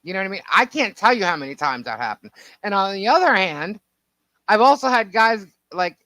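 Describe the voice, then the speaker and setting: A woman with a deep voice co-hosting a casual podcast, talking emphatically and at length. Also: big pitch swings, brisk, a bit bright, dry recording, poor audio. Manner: emphatic, talks at length, repeats herself. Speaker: podcast co-host. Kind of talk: casual opinionated chat.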